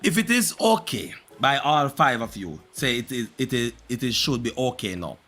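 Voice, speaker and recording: A man's voice: mid-range voice, very animated, moderate pace, fairly bright tone. A Jamaican man hosting a heated online panel debate, repeating himself and pressing his point. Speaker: host of a panel discussion.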